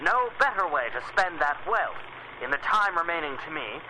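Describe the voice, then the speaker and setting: An actor playing an older, magnanimous millionaire giving a measured, oratorical speech in a radio drama. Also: male voice, a little high, very animated, noisy background. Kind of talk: 1930s radio speech acting.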